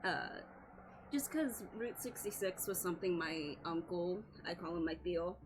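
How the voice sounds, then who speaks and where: A woman's voice: on the low side, normal speed. A woman streaming live with a scratchy voice, chatting casually, apologizing often, swearing and laughing a lot.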